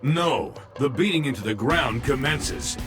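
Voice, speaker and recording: An actor playing a huge, emotionless robot villain with formal, pompous speech in an animated video game. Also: male voice, mid-range voice, unhurried, natural voice. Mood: pompous, menacing. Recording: loud music behind voice.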